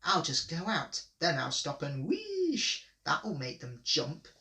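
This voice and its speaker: A man's voice: on the high side, wildly swooping pitch, slow, bright, forceful tone, echoey room, recorded from a distance, full character voice. Man doing a cocky little tank engine. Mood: cocky boastful. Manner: boastful, scheming. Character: conceited cheeky little tank engine boy.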